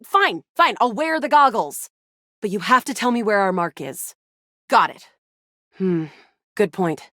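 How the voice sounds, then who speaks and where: A woman's voice: medium pitch, lively intonation, unhurried, long pauses, sharp tone, dry recording, clean studio sound. A woman voicing a cocky young female space soldier in a video game, brash, dramatic and self-assured. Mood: grudging, businesslike. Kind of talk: video game character dialogue.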